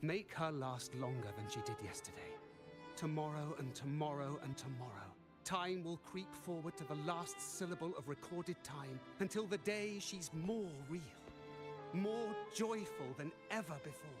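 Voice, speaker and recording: An actor playing a grandiose, persuasive mastermind in a game, with a deliberate, oratorical delivery. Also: male voice, fairly high pitch, lightly acted. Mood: grand, persuasive. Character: grandiose, persuasive male mastermind urging someone onward. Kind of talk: dramatic game voice acting. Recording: voice under prominent string music. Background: violin music.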